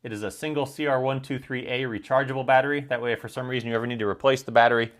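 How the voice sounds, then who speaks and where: An American man with a medium-pitched voice talks through a product as a reviewer. His delivery is informal and explanatory, with a plain, matter-of-fact manner. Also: steady tone, rapid-fire.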